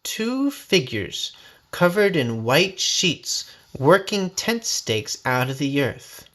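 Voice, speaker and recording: A man reading a folksy first-person story aloud in a dramatic, expressive, suspenseful way. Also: fairly high pitch, slow. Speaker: storybook reader.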